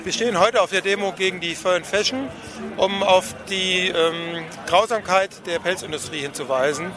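A man giving an earnest, measured explanation as an activist in an outdoor interview. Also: sits high. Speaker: animal rights activist being interviewed. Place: outdoors at a demonstration stand. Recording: outdoor street recording with crowd noise.

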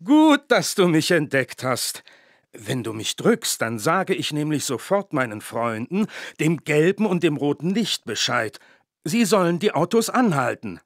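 Eager cartoon button, highish, bouncy pitch, dry studio sound. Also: male voice, sing-song, unhurried, no echo, full character voice. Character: eager talking pedestrian push button, cartoon.

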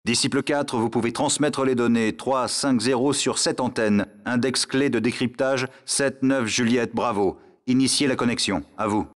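An actor voicing a stern male command operator in a video game, formal and measured over the radio. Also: medium pitch, fairly even intonation. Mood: stern, businesslike. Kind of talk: scripted military radio chatter.

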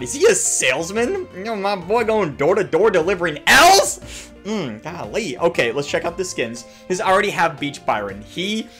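A man's voice: fairly high pitch, fairly bright tone. A young man hosting a gaming channel with casual, jokey, exaggerated reaction commentary full of crude slang. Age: young adult. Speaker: YouTube gaming host. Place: small home room.

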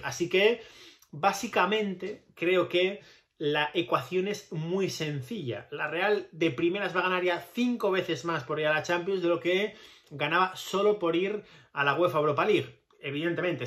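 Higher-voiced Spanish man talking football. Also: a bit bright. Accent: Spain Spanish. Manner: conversational, digressive, opinionated. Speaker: football channel host. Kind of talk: casual commentary to camera. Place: small plain indoor room with pale walls.

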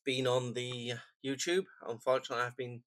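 A man talks his way through a notebook unboxing, his voice croaky and phlegmy from a cold. He rambles in a relaxed, self-deprecating way, in a middling pitch. The sound is dry and close, with no room echo. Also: fairly quick. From England. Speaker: stationery vlogger. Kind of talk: casual unboxing chat. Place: home desk, indoors.

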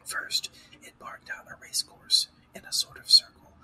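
A man's voice: unhurried, bright, forceful tone. Whispering man reading a storybook. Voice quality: breathy unvoiced whisper. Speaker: narrator reading a children's story aloud.

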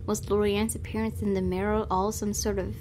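A woman's voice: mid-range voice, little pitch movement, fairly quick, darkish tone, a little hiss or hum, poor audio. A woman hosting a let's play, reading a visual novel's narration aloud with drama.

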